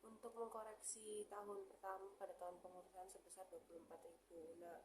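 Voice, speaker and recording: A young woman reading out a class presentation haltingly, stumbling over English terms. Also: medium pitch. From Indonesia. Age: young adult. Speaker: student presenter. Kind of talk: class presentation, reading accounting slides aloud. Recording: roomy echo, faint background noise.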